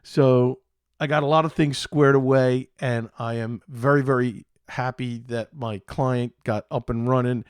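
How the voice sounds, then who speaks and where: Slow, halting man hosting a tech show. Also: mid-range voice, steady tone, smooth, no room sound, studio quality. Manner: halting, pausing mid-sentence, repeating phrases. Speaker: IT support technician. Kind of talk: casual solo tech talk, unscripted.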